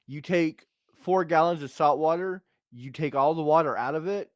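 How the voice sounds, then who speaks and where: A man's voice: on the high side, normal speed, no echo, studio quality. An American man co-hosting a livestream podcast, joking and rambling in casual unscripted talk, often repeating himself. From United States.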